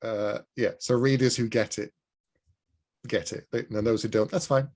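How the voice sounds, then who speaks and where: A man's voice: mid-range voice, steady tone, normal speed, darkish tone. A man from Newcastle hosting an interview, chatting agreeably with his guest over a video call. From Newcastle, England. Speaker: interview host. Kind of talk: casual interview conversation.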